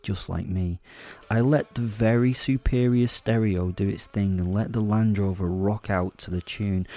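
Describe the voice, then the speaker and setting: A man's voice: fairly low pitch, warm tone, dry recording. A man narrating a horror audio drama in the first person, in a wry, confiding manner. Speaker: narrator playing the werewolf protagonist.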